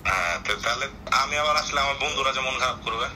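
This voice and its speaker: A man's voice: medium pitch, very fast, pushed and bright. A man with a Bangladeshi accent chatting casually and playfully on the phone. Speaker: prank call recipient. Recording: noisy phone call.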